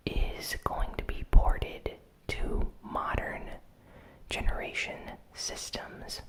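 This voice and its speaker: A host whispering a weekly gaming news recap in ASMR style, soft and unhurried with frequent "um" fillers. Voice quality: fully whispered.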